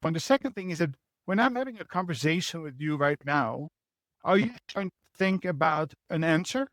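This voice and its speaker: A man's voice: fairly high pitch, expressive, a little fast, fairly bright tone, no echo. Dutch-accented man explaining earnestly. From Netherlands. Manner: earnest, explanatory, uses rhetorical "right?". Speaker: guest, leadership mentor. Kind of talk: podcast interview answers.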